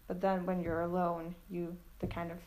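A young woman from Sweden chatting on a student podcast, reflective and halting, often restarting her thoughts. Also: lowish voice, monotone, darkish tone. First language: Swedish. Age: teens. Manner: reflective, halting, self-doubting. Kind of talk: casual chat.

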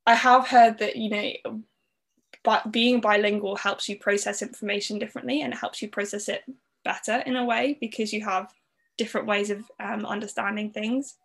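A woman's voice: mid-range voice, level tone, normal speed, vocal fry. A young woman raised in the Netherlands, speaking with a Southern English accent, telling her story as a guest in a podcast interview in a chatty way full of "like". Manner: chatty, frequent "like" fillers.

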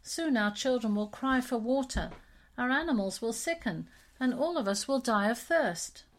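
A woman's voice: on the high side, animated, normal speed, close to an everyday voice. A woman reading a worried poor father.

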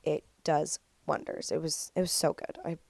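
A woman's voice: sits low, little pitch movement, moderate pace, creaky, a little mellow, no room sound. A young woman in her twenties hosting a casual solo podcast, stuffed up and nasal with a cold, chatty and upbeat with lots of "like". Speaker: podcast host.

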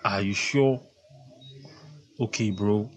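A man's voice: lowish voice, takes it slowly, a little hiss or hum. A man acting a menacing gang leader in an audio play, with a Ghanaian accent. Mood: businesslike. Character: menacing young street thug, leader of a gang. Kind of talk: Pidgin street-talk dialogue in an audio play.

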